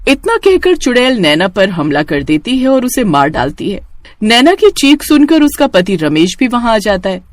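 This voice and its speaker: A woman's voice: a little high, big pitch swings, normal speed, no room sound, clean studio sound. A woman narrating a cartoon horror story in a scripted, dramatic storytelling style.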